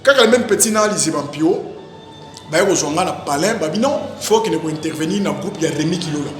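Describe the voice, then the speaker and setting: A man with a Congolese accent, an actor being interviewed, talking casually in an animated, rambling way and repeating his phrases. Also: a little high, fairly quick. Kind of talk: casual interview, recounting career. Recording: very echoey reverberant room, music behind. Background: music.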